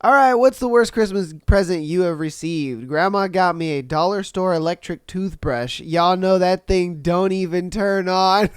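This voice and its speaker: A man's voice: high-pitched, unhurried, clear, resonant tone, dry recording, studio quality. Congested man hosting a casual podcast. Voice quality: slightly nasal. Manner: animated, slangy reactions with frequent laughs. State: congested from a cold. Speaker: podcast host. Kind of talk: casual commentary reading listener posts.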